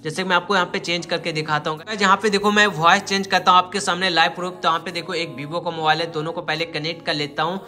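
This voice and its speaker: A man's voice: fairly high pitch, little pitch movement, fast, few pauses, bright, forceful tone. A young man narrating a step-by-step tutorial, addressing viewers directly and repeating himself. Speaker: tech tutorial YouTuber.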